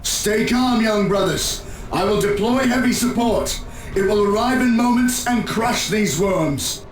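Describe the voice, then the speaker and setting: Slow, stern space marine sergeant. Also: male voice, high voice. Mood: commanding. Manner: deliberate, commanding, scornful. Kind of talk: video game cutscene acting. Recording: echoing voice over game music.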